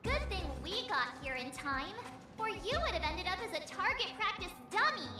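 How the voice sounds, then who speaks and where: Very high, perky girl. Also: big pitch swings, moderate pace, roomy sound, distant mic, over music. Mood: cheeky. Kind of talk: scripted video game voice acting.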